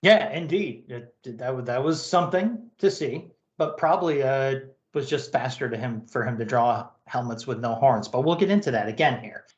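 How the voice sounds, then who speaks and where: A man's voice: mid-range voice, animated, moderate pace, hardly any pauses, reverberant, highs cut off. A man co-hosting a comic-book podcast, narrating and commenting. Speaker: podcast co-host.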